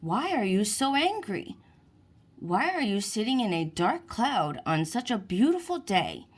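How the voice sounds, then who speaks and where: A woman voicing a gentle young sea child in a children's storybook, giving soothing, guiding instructions. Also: mid-range voice, big pitch swings, unhurried, husky. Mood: curious. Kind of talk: children's storybook character reading.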